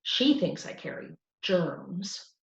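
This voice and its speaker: A woman's voice: low voice, very animated, slow pace, gravelly, echoey room, highs cut off, heavily acted. A woman voicing a timid, earnest young male cricket from the country in a storybook reading, with a hesitant manner.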